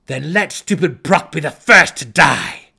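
A man voicing a menacing male dark elf warrior, imperious and threatening, in a fantasy storybook reading. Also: on the high side, expressive, unhurried, gravelly, breathy edge, voice pushed up, heavily acted. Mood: threatening, shouting.

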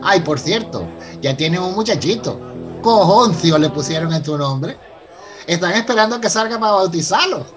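A Venezuelan actor playing a polite, earnest professor in a radio soap opera, explaining things with hesitant stammers. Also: male voice, sits high, lively intonation, moderate pace, music in the background. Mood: eager, nervous. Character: polite, earnest university professor and new son-in-law.

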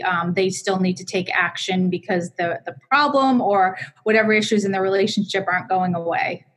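A woman with a Boston accent, a divorce lawyer explaining her views as a podcast guest, with frequent "um"s. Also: fairly high pitch. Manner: explanatory, uses fillers like um. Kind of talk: conversational interview answers.

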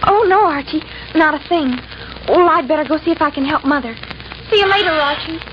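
A woman's voice: high-pitched, a little fast, close to an everyday voice. A woman playing a sweet, eager, easily wounded teenage girl in a 1940s radio comedy. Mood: hurt. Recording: dull, noisy 1940s radio recording.

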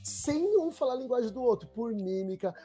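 A young Brazilian man, a podcast guest telling stories in relaxed, slang-heavy casual chat. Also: very high-pitched, normal speed, soft background music. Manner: slangy street talk, relaxed. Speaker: documentary maker, guest.

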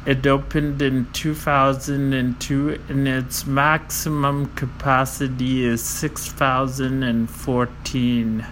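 A man slowly reading out a list of world records, halting often with fillers and stumbling over foreign names. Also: medium pitch, little pitch movement, a bit bright, a little hiss or hum, low-quality recording. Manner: slow, halting, with many fillers and self-corrections.

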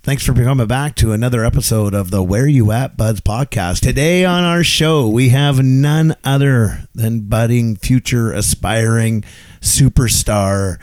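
A Canadian-raised man hosting a casual podcast, joking and bantering in a mid-pitched voice. The recording is dry and close. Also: unhurried, darkish tone, no echo. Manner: jokey, playful banter. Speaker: podcast host.

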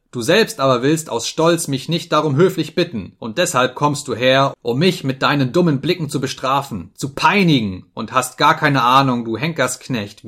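A man voicing an overwrought, proud master who shouts furious, repetitive commands at his servant in an audiobook story. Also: medium pitch, close to an everyday voice.

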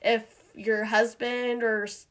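A woman hosting a podcast in casual chat, rambling with lots of fillers, her voice a little hoarse from a cough. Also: on the high side, level tone, slow, fairly bright tone. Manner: chatty, rambling with many fillers and restarts. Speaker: podcast host.

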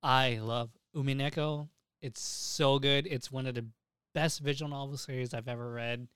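Informal, enthusiastic young man, clear voice. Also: medium pitch, fairly even intonation, normal speed, fairly bright tone, no room sound, clean studio sound. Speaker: podcast host. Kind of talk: casual podcast chat.

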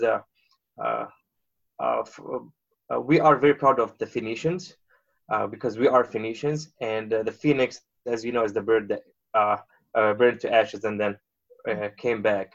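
A man in his thirties, from Lebanon, talking casually as an interview guest and pausing often on filler sounds. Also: mid-range voice, steady tone, gravelly, highs cut off. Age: 30s. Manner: halting, many filler uhs.

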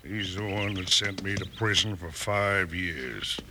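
A lowish, very sharp-edged outlaw leader, cocky and vengeful. He gives orders with hard menace in the manner of a Western radio serial, on a slightly noisy old recording. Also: a little slow, full character voice. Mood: vengeful, menacing. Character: vengeful, cocky male outlaw gang boss, ex-convict. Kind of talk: 1950s Western radio drama acting.

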